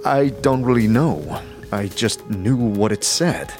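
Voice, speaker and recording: A man plays an earnest wanderer hungry to learn magic in a fantasy audio drama. He is thoughtful and formal, his voice somewhat low. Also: expressive, slow pace, darkish tone, soft background music. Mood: puzzled.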